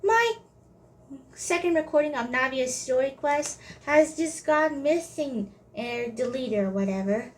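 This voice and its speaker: A woman's voice: sits high, lively intonation, unhurried. A woman giving casual commentary as she records her video game playthrough. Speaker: gameplay video creator. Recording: game music behind the voice. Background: game music.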